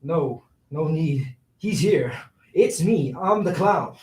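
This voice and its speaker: A man's voice: on the high side, lively intonation, slow, a little mellow. A man in a staged play reading playing a cheeky, joking man who is out of work. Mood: joking, casual. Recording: echoey room, far from mic.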